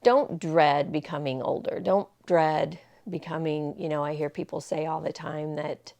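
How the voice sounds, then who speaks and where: Woman in her sixties, low voice, gravelly fry, rambling casual vlog talk, lots of ums. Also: unhurried, darkish tone. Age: 60s. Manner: rambling, many fillers and restarts. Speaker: vlogger. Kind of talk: casual personal talk to camera.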